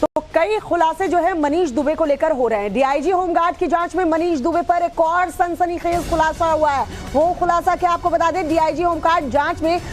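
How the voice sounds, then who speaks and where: Female TV anchor, high voice, live news delivery, music underneath. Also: normal speed, hardly any pauses.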